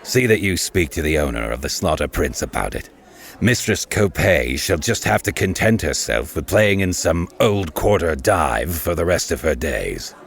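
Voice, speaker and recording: An actor playing a haughty, menacing lord in an audiobook, speaking darkly and imperiously. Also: male voice, animated, moderate pace, gravelly, full character voice. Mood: darkly threatening. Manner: dark, low-voiced, imperious. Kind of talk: audiobook character acting.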